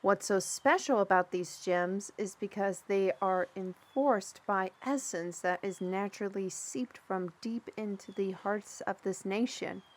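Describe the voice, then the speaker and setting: A woman playing a chatty, easily flustered storyteller in a fantasy audio roleplay, with an expressive, hesitant delivery. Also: medium pitch, a little slow, hardly any pauses, natural voice. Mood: musing, amused. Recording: music behind the voice.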